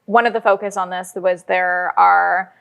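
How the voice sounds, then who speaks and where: A woman's voice: on the low side, steady tone, unhurried, creaky, a bit bright. Woman explaining in conversation. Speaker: interview guest, audio drama writer. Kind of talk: conversational podcast interview.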